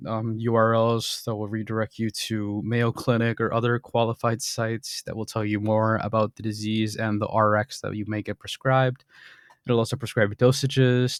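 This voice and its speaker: A young man casually walking through a software demo, explaining as he goes, with frequent ums and throat clearing. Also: fairly low pitch, no room sound, clean studio sound. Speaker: software developer demoing his bot. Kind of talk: screen-recorded software demo.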